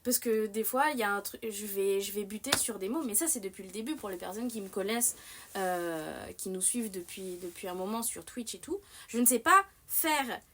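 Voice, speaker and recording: A young woman hosts a casual live stream at a middle pitch. She meanders through her thoughts and circles back on half-finished sentences. Her delivery is animated and self-mocking. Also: moderate pace, a little hiss or hum. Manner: rambling, many fillers and restarts. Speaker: livestream host. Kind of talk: casual livestream chat.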